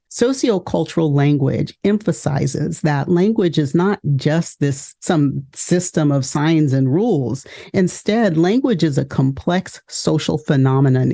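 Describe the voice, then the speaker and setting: A woman from California hosting an education webinar, speaking in a clear, measured, list-like way. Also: low voice, mellow. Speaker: education consultant and podcast host. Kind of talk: scripted webinar presentation.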